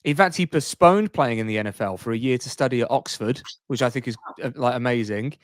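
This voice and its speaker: A man's voice: mid-range voice, fairly quick, no room sound, studio quality. A man co-hosting a sports podcast in a casual, informal, conversational way.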